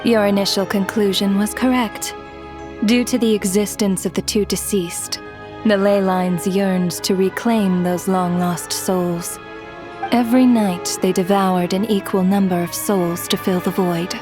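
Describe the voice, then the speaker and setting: An imperious female spirit who rules over death voiced by a woman speaks in formal, condescending phrases to the mortals before her. It is dramatic video game acting in a mid-range voice, with clear music underneath. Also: medium pitch, fairly even intonation, a little slow, natural voice. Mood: imperious calm. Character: haughty female Ruler of Death, an otherworldly spirit. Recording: music behind the voice.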